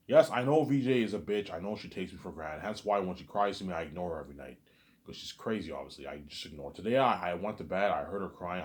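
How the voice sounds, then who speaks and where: Guy with a really low voice talking fast, going in circles, stuttering and mumbling off at the end of bits. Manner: repetitive, stammers on restarts, trails off quietly on asides. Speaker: solo vlogger. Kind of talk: rambling unscripted monologue.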